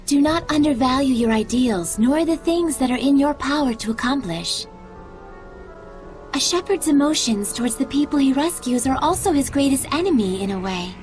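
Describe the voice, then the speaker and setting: Game role: wise gentle spirit woman, high voice, formal and measured, music underneath. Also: expressive. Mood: earnest. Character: gentle wise female spirit, earnest adviser. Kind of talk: English video game dub acting.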